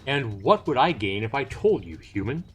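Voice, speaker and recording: A man's voice: medium pitch, big pitch swings, normal speed, breathy edge. A man voicing an arrogant male elf captor from a visual novel, curt and condescending. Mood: cold challenge.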